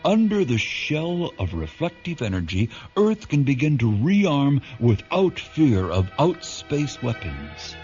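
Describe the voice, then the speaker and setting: A grave male announcer introduces a sci-fi radio tale. His delivery is portentous and animated in pitch, full of deliberate pauses. Music plays beneath him on a slightly dull recording. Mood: grave, ominous. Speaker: radio drama narrator. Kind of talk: scripted dramatic narration.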